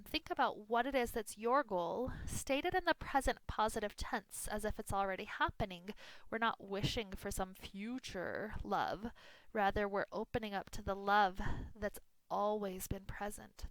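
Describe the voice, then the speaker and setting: A woman leads a guided meditation as a yoga nidra teacher. Her medium-pitched voice is soothing, with unhurried phrases and room left between them. The recording is close and dry, with very little room sound. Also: dry recording, poor audio.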